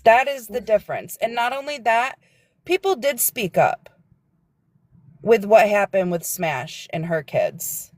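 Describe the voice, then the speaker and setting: A woman's voice: medium pitch, expressive, measured pace, a little mellow, no echo. A woman, a guest on a livestream, speaking earnestly and lecturing, sometimes searching for her words. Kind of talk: casual livestream chat.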